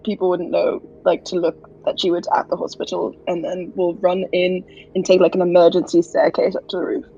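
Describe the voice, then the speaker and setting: Female game player, lowish and dark-toned, conversational, on a lo-fi recording. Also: monotone, a little fast, a little hiss or hum. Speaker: tabletop role-playing player. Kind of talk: casual game talk.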